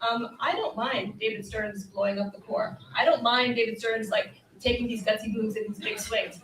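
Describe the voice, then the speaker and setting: Female panelist, higher-pitched, quick and emphatic, recorded at a distance. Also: fairly bright tone. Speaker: sports journalist and panelist. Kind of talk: live panel sports discussion. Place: live convention panel stage. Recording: echoey live room, distant from mic.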